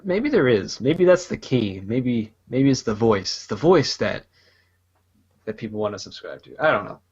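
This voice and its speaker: A man's voice: mid-range voice, normal speed, slightly rough. Chill guy gaming podcast host, mid voice, rambly, kinda muffled lo-fi sound. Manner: relaxed, rambling, laughs often. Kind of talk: casual chat.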